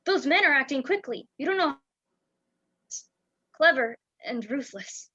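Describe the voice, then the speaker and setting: A woman's voice: sits high, lively intonation, measured pace, pushed and bright, heavily acted. A young woman playing a frightened, secretive spy in an amateur radio play, speaking urgently and dramatically. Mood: urgent, grave. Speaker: student actor in a school radio play. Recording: dull lo-fi home recording.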